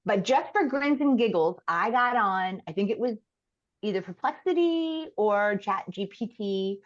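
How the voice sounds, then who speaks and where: A woman in her fifties, a podcast guest chatting casually in an animated, emphatic way. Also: mid-range voice, lo-fi sound. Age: 50s. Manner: animated and emphatic, quick to laugh.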